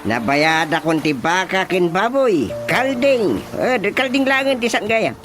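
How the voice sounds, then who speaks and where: An actor playing an excitable, exclamatory family go-between in an Ilocano radio drama comedy, with a theatrical, stammering delivery. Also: male voice, high voice, unhurried. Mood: eager. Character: excitable Filipino male go-between arranging a rushed family wedding. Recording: radio drama with music behind.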